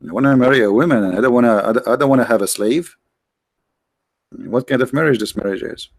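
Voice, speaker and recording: A man's voice: medium pitch, fast talker, gravelly, no echo. A man hosting a livestream, talking casually and sarcastically, with many restarts and fillers. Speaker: livestream host.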